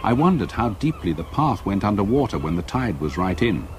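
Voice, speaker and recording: An older man with an English accent, an actor playing a grave retired solicitor narrating his haunted memories in a radio drama, measured and reflective. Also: lowish voice, sing-song, slight background noise. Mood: brooding. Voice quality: husky. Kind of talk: literary first-person radio drama narration.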